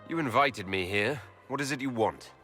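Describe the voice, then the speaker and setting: A man's voice: medium pitch, expressive, moderate pace, bright, forceful tone. A man playing a guarded, direct fantasy hero in a video game, questioning in a formal manner. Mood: guarded, inquiring. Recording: music under the voice.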